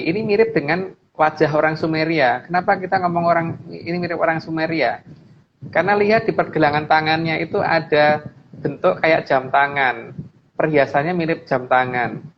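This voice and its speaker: A man's voice: a little high, normal speed. A man with an Indonesian accent explaining slides informally, emphatically repeating his phrases. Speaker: guest speaker explaining slides. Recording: dull lo-fi audio with background noise.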